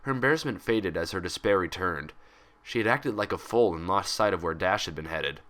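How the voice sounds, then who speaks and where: A man narrating fan fiction from a script in an expressive storytelling style. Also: deep voice, a little fast.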